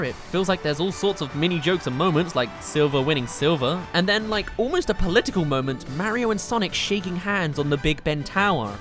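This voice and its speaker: A man narrating an enthusiastic scripted video game countdown in a gushing, informal way, as a reviewer. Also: high voice. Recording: loud music behind the voice. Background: game soundtrack music.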